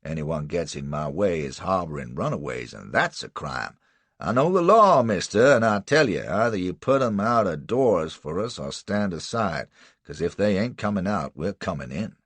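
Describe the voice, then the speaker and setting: A man's voice: deep voice, big pitch swings, normal speed, no room sound, exaggerated voice. A man doing a swaggering crude slave catcher. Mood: threatening. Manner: rough drawling slang, defiant.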